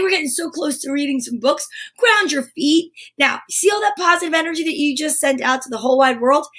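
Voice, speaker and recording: A woman with a high voice hosts a live show for children. She talks straight to her viewers in an upbeat, chatty style. Her delivery is exuberant, emphatic and encouraging. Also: fairly bright tone. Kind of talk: upbeat live chat to viewers, reading picture books aloud.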